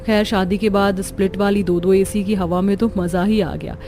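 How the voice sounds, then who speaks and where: A woman's voice: mid-range voice, fairly even intonation, moderate pace, mellow, soft background music, close to an everyday voice. A woman voicing a young bride in a rich household in a cartoon, showing off and self-pitying. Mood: self-pitying, smug.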